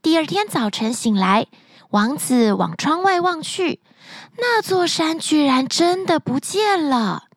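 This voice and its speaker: High, lively woman telling a bedtime story. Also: slow, no echo. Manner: gentle, expressive storytelling.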